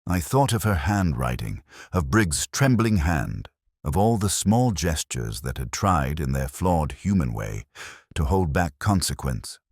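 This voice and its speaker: Very deep, weary ageing detective played by a man. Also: big pitch swings, darkish tone, breathy edge, no room sound, clean studio sound, full character voice. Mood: somber, reflective. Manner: measured, solemn, contemplative. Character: weary ageing male English detective, reflective, melancholy, philosophical. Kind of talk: first-person literary audio drama narration.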